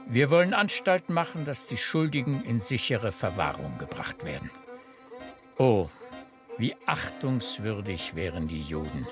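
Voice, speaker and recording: An actor playing an old, effusively grateful baron in a classical radio drama, with a husky, emotional, courtly delivery. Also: male voice, on the low side, big pitch swings, unhurried, music in the background. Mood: delighted.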